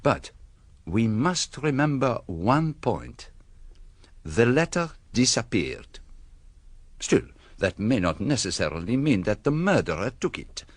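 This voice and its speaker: A man playing a courteous, shrewd foreign detective in a radio drama, formal and deliberate with quaint phrasing. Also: medium pitch, very animated, no echo. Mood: thoughtful, probing.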